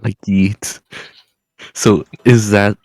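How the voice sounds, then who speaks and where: A man's voice: on the low side, little pitch movement, extremely slow, a little mellow, no room sound. A man co-hosting a podcast, chatting casually and joking about learning languages. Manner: playful, jokey, easygoing. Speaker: podcast co-host. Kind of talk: casual chat.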